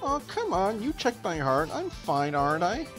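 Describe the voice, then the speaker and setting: A man's voice: sits high, huge pitch swings, normal speed, over music, voice pushed up. A man voicing a proud, easily flustered young woman in a visual novel, defensive and huffy. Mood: annoyed, flustered.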